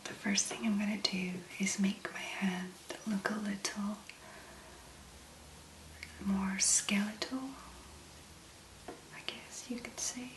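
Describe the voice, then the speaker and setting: A woman speaking softly and breathily in an ASMR make-up tutorial, gently musing in a hesitant, unhurried way. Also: medium pitch, steady tone, reverberant, low-quality recording. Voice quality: soft, breathy, mostly whispered. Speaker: ASMR content creator, make-up demonstrator.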